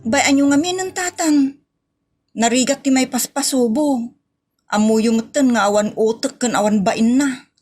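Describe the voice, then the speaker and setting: A woman's voice: high voice, measured pace, a little mellow. A woman playing a scolding, meddling aunt in a comedy audio drama, with an Ilocano accent and a nagging, exasperated manner.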